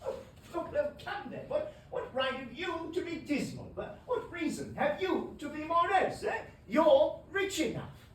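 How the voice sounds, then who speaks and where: A reader voicing a cheerful, eager young man who laughs and pleads in a high, bright voice, in a roomy recording from a distant mic. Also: very animated, a little slow, a little hiss or hum, full character voice. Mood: pleading.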